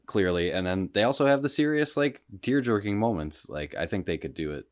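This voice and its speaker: Deep-voiced man chatting casually. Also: expressive, brisk. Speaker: podcast co-host. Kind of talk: casual podcast chat.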